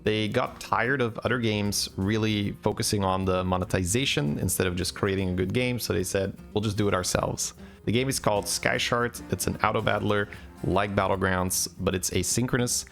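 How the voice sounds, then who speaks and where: A man giving relaxed, chatty game commentary and answering chat questions, with faint music behind. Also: lowish voice, moderate pace, gravelly. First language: Dutch. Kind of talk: casual gameplay commentary.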